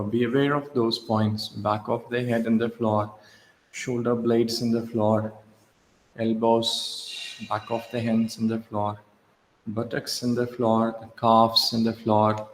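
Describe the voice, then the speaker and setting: A man leading a guided relaxation as a yoga instructor, speaking in short, measured instructions with long pauses, recorded in a reverberant room. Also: medium pitch. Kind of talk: slow guided meditation. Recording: echoey reverberant room, faint background hiss.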